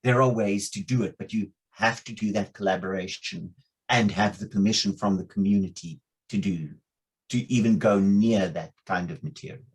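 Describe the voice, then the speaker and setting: A man's voice: fairly low pitch, normal speed, husky, poor audio. A man speaking as a panelist on a video call, thoughtful and earnest, often repeating words as he works through a point. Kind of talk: panel discussion.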